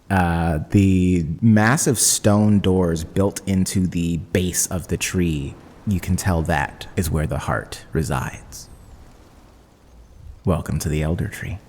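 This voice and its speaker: A man with a very low voice acts as game master for a horror tabletop game, telling the story slowly and dramatically. His voice rings with long reverb, and music plays clearly underneath. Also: dark tone.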